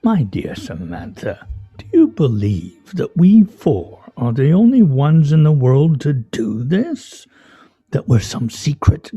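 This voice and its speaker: A pompous, sardonic man played by a male actor, very dark and soft in tone, with a husky edge. He declaims ornately, swinging widely in pitch like a stage orator in a radio drama. The recording is somewhat muffled. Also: huge pitch swings, slow pace, soft background music, full character voice. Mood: grandiose. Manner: grandiose, mock-serious, ornate diction. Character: pompous, sardonic, theatrical man at a scrabble game. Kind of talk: radio play acting.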